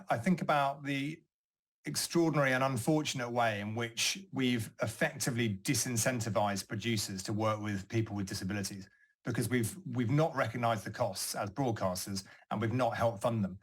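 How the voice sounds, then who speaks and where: A man's voice: mid-range voice, normal speed. A man, a television executive, giving an interview-style testimonial.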